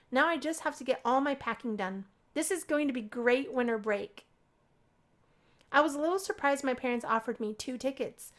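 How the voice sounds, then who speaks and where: Female game narrator, higher register, expressive story reading for a visual novel playthrough. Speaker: gaming video creator. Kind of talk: let's play narration of a visual novel, read aloud.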